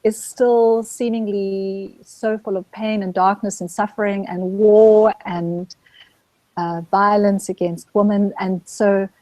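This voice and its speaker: A middle-aged woman from Zambia, a guest speaking gently and reflectively about her spiritual search, often pausing to restart her thought. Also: mid-range voice, fairly even intonation, dry recording, low-quality recording. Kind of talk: reflective spiritual interview talk.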